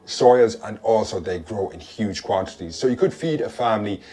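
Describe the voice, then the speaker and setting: A man from Ireland presenting a history video, explaining the topic in clear, measured scripted narration. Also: fairly low pitch, mellow.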